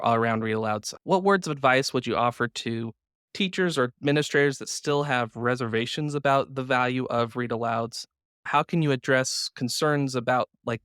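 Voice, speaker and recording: A man with a medium-pitched voice hosts a podcast interview, recorded dry with almost no room echo. He talks conversationally, affirming points and reasoning aloud. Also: fairly bright tone. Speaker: podcast host.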